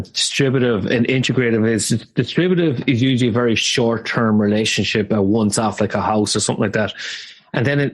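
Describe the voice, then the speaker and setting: A man hosting a podcast interview and explaining negotiation ideas for listeners, with some "uh" and "um". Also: mid-range voice. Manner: measured, explanatory, uses fillers. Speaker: podcast host, negotiation teacher.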